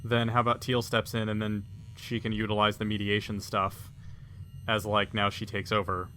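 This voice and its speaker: A man's voice: fairly low pitch, flat delivery, moderate pace, sharp tone, slight background noise. A man talking casually as a player in a tabletop roleplaying game.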